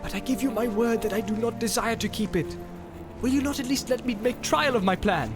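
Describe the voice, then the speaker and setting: A man voicing a proud, tall warrior who is grasping and quick to rage, in a booming, declamatory read. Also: music in the background. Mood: pleading. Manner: booming declamatory delivery, rising to shouts.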